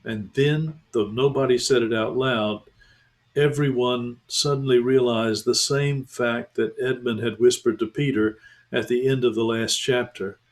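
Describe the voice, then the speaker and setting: A man, a pastor, reading a children's novel aloud in a calm, measured way. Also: mid-range voice. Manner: calm, measured, slows for tension. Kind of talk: storybook read-aloud.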